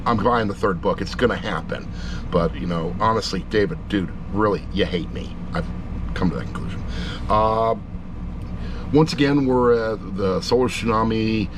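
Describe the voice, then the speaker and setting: A man's voice: fairly low pitch, moderate pace, background noise. A middle-aged man giving a casual, rambling review, with blunt, jokey asides. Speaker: audiobook reviewer.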